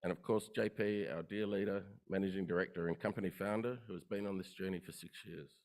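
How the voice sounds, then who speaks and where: Man, lower voice, brisk rehearsed investor presentation, crisp lists of figures. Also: fairly even intonation, darkish tone. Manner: brisk, rehearsed, listing facts. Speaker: company presenter. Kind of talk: investor conference pitch. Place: conference room.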